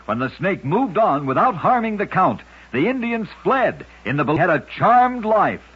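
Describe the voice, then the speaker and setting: Husky guy telling oddity stories on the radio, voice swings around a lot, dramatic, dull lo-fi old recording. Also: high-pitched, big pitch swings, moderate pace. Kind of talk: scripted radio narration of short true stories.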